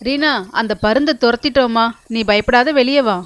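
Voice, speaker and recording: A woman plays a cartoon grandmother crow. Her voice is high. She is kindly and protective, reassuring and urging like a caring elder. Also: moderate pace, clean tone, close to an everyday voice. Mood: urgent, reassuring. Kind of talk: children's cartoon voice acting.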